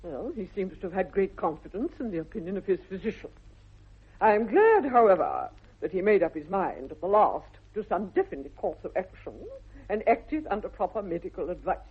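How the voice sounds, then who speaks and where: A woman's voice: mid-range voice, big pitch swings, a little fast, breathy edge. An actress playing a formidable older upper-class English mother in a 1960s radio comedy, haughty and declamatory, with precise articulation. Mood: imperious disapproval. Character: imperious, formidable aristocratic English mother and aunt. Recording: tinny, band-limited vintage radio recording.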